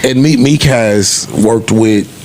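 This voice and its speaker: A man's voice: medium pitch, slow, darkish tone, slight background noise. A man speaking as a podcast panelist in casual discussion.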